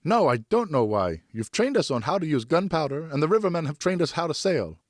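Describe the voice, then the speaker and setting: A man's voice: on the high side, animated, a little fast, no room sound, clean studio sound, voice pushed up. A man voicing a defiant, argumentative young boy in an audiobook story. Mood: indignant. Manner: argumentative, protesting. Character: indignant, defiant young boy, son of the narrator.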